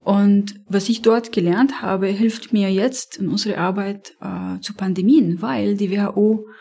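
A woman's voice: mid-range voice, steady tone, a little slow, vocal fry, mellow, slightly rough. A woman from Lebanon with an Arabic accent, whose first language is Arabic, answering interview questions thoughtfully with frequent hesitations. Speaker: podcast guest, campaigner.

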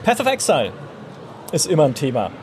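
Upbeat slightly higher-voiced man. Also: huge pitch swings, fairly quick, a little mellow, a little hiss or hum. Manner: friendly, argumentative in jest. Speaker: host. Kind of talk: casual chat. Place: busy trade fair floor.

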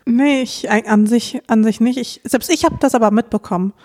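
A woman's voice: on the high side, moderate pace, mellow. A young woman, chatting casually as a podcast co-host and making dry, teasing remarks.

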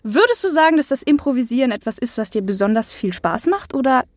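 A woman's voice: fairly high pitch, sing-song, fast talker, no echo, studio quality. A woman hosting an interview, asking questions in a casual, chatty style. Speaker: interviewer, host.

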